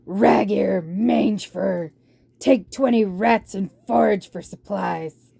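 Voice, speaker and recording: A woman's voice: medium pitch, takes it slowly, creaky, dark tone, slightly rough, no room sound, clean studio sound, exaggerated voice. A woman voicing a villainous rat warlord in a storybook, curt, commanding and menacing as he orders his horde about. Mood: harsh, commanding.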